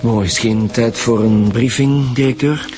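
An actor with a Flemish accent playing a dry, pensive police commissioner in a radio drama, with deliberate delivery. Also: male voice, mid-range voice, fairly even intonation, a little mellow, lightly acted. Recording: faint music behind the dialogue.

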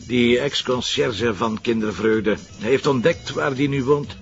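An actor playing a seasoned, good-humoured police inspector in a radio drama, wry and colloquial, with a gravelly voice and a Flemish accent. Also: male voice, mid-range voice, unhurried, highs cut off, soft background music, lightly acted. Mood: bantering. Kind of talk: naturalistic radio drama dialogue.

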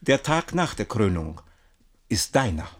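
A man's voice: fairly low pitch, very animated, a little slow. A man playing a scheming, imperious duke with a sardonic manner in a German radio play. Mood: cold, commanding. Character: scheming, haughty duke and general, cold conspirator.

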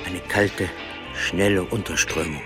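An actor playing a brooding man in a radio drama, reciting fragments in a deliberate, ominous manner. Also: deep voice, steady tone, over music.

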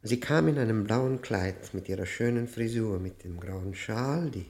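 A man's voice: on the low side, darkish tone. Tormented, guilt-ridden man confessing. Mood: haunted, intense. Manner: halting, brooding, emphatic, repeats words. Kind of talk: theatrical German radio play monologue.

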